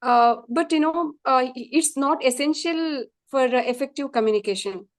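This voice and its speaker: A woman with a South Indian accent speaks as an interview guest, her voice a little high. She stresses her points earnestly and repeats them for emphasis. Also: normal speed. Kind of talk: casual interview chat.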